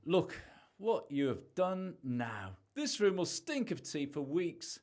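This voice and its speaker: A man's voice: on the high side, sing-song, takes it slowly, darkish tone. A man in an amateur radio play acting a defensive, sarcastic husband who is hiding something. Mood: irritated, sarcastic. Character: evasive, irritable husband hiding a secret.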